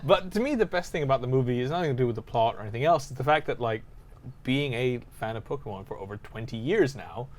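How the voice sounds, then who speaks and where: A man's voice: medium pitch, lively intonation, quick pace. A man co-hosting a podcast in casual conversation, often chuckling as he talks. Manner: chuckles often while talking. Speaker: podcast co-host. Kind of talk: casual chat about video game movies.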